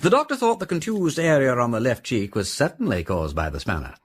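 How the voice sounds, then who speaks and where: An actor in a radio drama playing a genial, witty gentleman detective with an upper-class English accent, breezy and given to musing aloud. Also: mid-range voice, very animated, fairly quick, breathy edge. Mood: conversational. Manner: breezy, whimsical, musing aloud, old-fashioned society slang.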